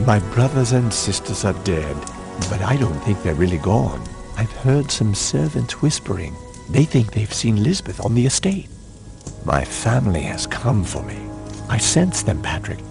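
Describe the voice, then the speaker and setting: An actor playing an ailing, haunted lord of a cursed manor, telling his tale gravely and ominously in a dramatic game cutscene. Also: fairly low pitch, sing-song, a little slow, music in the background, full character voice. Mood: grave, fearful.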